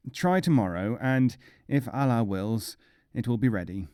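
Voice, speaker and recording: A man's voice: medium pitch, expressive, unhurried, mellow, dry recording, clean studio sound, close to an everyday voice. A man voicing an apologetic, procrastinating tailor in a folk tale, in a placating drawl.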